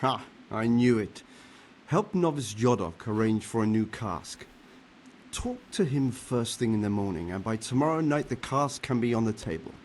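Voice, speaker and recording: An actor playing a jovial, sly older medieval monk in a video game, with a hearty, coaxing manner. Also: male voice, medium pitch, expressive, moderate pace, darkish tone, slight background noise, lightly acted. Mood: sly, persuasive. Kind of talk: video game cutscene acting.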